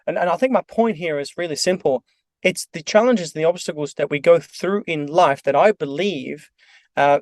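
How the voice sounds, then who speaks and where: A man's voice: on the high side, dry recording. A man from Norway with a Norwegian accent, speaking earnestly as a podcast guest with frequent ums and uhs. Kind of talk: podcast interview.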